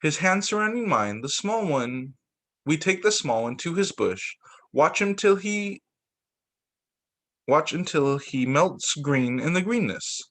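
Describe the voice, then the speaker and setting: Man reading picture books aloud, lively pitch. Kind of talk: storybook read-aloud.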